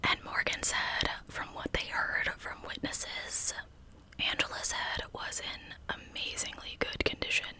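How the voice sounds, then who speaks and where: Asmr lady whispering a story, super bright breathy sound, chatty little asides, close and intimate. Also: measured pace. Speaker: host of a true crime ASMR channel.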